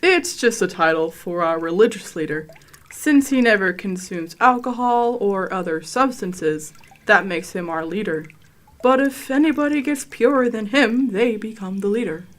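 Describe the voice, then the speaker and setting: Woman playing an earnest alien guide, mid-pitched. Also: expressive, normal speed, close to an everyday voice. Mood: matter-of-fact. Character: welcoming, easily tempted female alien guide of a water-cult people. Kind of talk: comedic sci-fi radio drama acting.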